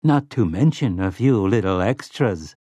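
A man's voice: medium pitch, measured pace, no echo, clean studio sound, pitched above the performer's own voice, lightly acted. A man voicing a complacent, prissy male servant robot in an audiobook, with smug solicitude and silky menace. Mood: silky needling.

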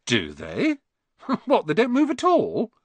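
A man's voice: medium pitch, big pitch swings, normal speed, no room sound. A man voicing a blunt, cheeky young schoolboy with an offhand way of talking. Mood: casual.

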